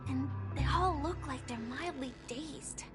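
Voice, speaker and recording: A woman voicing a gentle, wistful young operative in a video game, softly and pensively, with breathy, unhurried speech. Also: high-pitched, roomy sound, music in the background. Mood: calm, explaining. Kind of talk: video game story acting.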